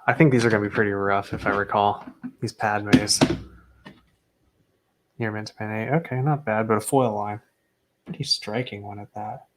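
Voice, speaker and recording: Young guy going through his graded cards, chill and low-key, kinda low voice, doesn't hype it up. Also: normal speed, pauses often, slight background noise. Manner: low-key and understated, self-described as not excitable. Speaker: card collector unboxing graded cards. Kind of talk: casual unboxing commentary.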